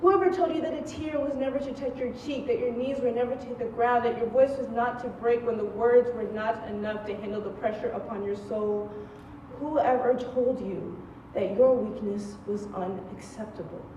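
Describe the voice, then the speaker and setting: A young woman with a mellow, slightly high voice recites spoken-word poetry in emphatic, rhythmic phrases. It is an echoey stage recording. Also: fairly even intonation, moderate pace, far from the mic, highs cut off, a little hiss or hum. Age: young adult. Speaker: student spoken-word performer. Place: stage in a large room.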